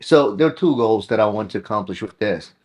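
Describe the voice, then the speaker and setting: A middle-aged man from South Korea with a Korean accent, chatting casually and haltingly, often saying "you know". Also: lowish voice, expressive, darkish tone, slightly rough. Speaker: client visiting a workshop. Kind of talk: casual conversation.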